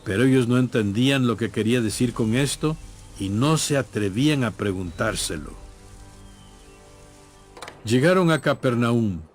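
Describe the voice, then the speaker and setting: A man narrating a scripted scripture story in a measured storytelling manner. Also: medium pitch, expressive, soft background music.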